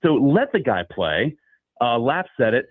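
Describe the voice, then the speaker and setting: A man's voice: medium pitch, a little slow, breathy edge, dry recording, phone-quality sound. A male caller heard over a thin, phone-band line with a dry sound. He talks in a casual, rambling way, full of ums and restarts, as he builds his point. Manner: conversational, many fillers, steady argument.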